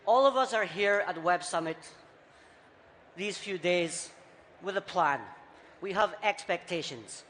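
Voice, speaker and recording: A man with a Scottish accent softened by years abroad, giving a punchy, rhetorical conference talk in short, repeated phrases. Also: sits high, a little slow, long pauses. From Scotland. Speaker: conference speaker. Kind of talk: keynote talk on stage. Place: large conference hall. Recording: reverberant hall, faint background noise.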